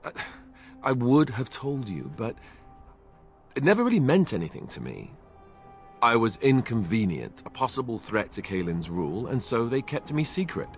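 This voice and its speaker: An actor playing an awkward, earnest young warrior in a fantasy video game, speaking in a hesitant, self-effacing, conversational way. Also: male voice, mid-range voice, very animated, normal speed, faint music behind. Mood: resigned, explanatory. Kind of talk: video game cutscene acting.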